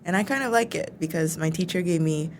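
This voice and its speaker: A young woman from the United States in a casual chat, easygoing and quick to laugh, using many fillers. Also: lowish voice, slight background noise. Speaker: guest teacher.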